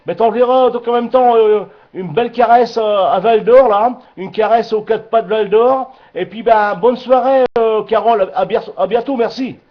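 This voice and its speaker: A high-pitched man talks casually over a dull two-way radio link. He rambles along as an amateur radio operator, circling back on his words and padding them with little tags. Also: moderate pace, hardly any pauses. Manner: rambling, repeats himself, frequent filler tags. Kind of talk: casual radio contact chat.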